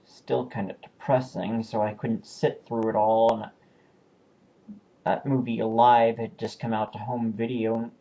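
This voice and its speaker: A man casually talking through his new disc purchases, relaxed and unscripted, pausing and correcting himself as he goes. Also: fairly low pitch, rough recording. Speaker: video collector showing new purchases. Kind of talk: casual home video review.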